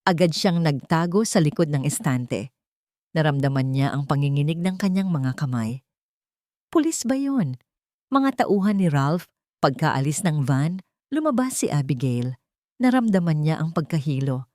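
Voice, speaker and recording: A woman with a fairly low voice reads a dramatic audiobook story. The delivery is scripted narration, and the sound is dry and close, with little room in it. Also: animated, moderate pace, warm tone, dry recording.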